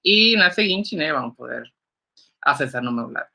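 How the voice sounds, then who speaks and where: A young man from Nicaragua speaks with a Nicaraguan Spanish accent, since Spanish is his native language. He teaches a workshop in a loose, joking manner, filling his pauses with little tags. His voice sits fairly high, with a bright, forward ring. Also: lively intonation, creaky. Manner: casual, joking, many fillers like "né" and "é". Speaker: graphic designer teaching a workshop. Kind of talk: online workshop lecture.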